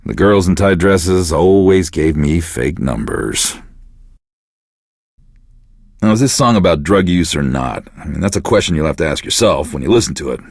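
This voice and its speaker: Casting: sour, deadpan American divorced DJ, middle-aged, low register with lively swings, close dry studio sound. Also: male voice, moderate pace, no room sound. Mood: weary, sardonic. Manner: deadpan, sardonic, profane. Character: bitter, self-pitying divorced middle-aged male radio DJ. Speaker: radio DJ. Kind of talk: casual radio DJ patter.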